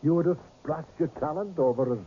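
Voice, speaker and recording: An actor playing a cool, condescending older man from a secret tribunal in a radio drama, speaking in a measured, formal, patronising way. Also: a little high, lightly acted. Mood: smug, menacing. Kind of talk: 1980s radio drama acting. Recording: muffled, slightly noisy old radio recording.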